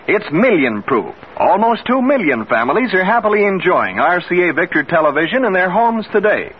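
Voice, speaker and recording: Male 1950s American radio pitchman, high animated voice, scripted sell, muffled vintage sound. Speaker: radio announcer. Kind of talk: 1950s radio advertisement read. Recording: hissy, dull old radio recording.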